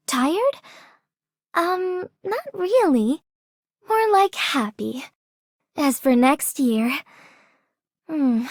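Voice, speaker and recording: A woman voicing a gentle, soft-spoken young woman in a video game. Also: high-pitched, no room sound, clean studio sound. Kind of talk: video game character voice lines.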